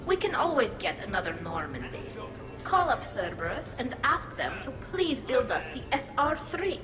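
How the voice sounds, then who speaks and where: A woman's voice: a little high, sing-song, normal speed. A woman playing a cheeky young female alien in video game dialogue. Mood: playful. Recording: echoey room with loud music.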